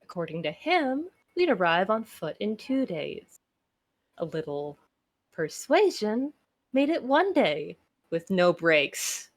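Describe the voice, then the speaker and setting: A woman's voice: medium pitch, big pitch swings, slow pace, heavily acted. Woman putting on a whiny, sardonic female adventurer. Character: bitter, self-important woman adventurer narrating her own story. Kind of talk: acted visual novel narration.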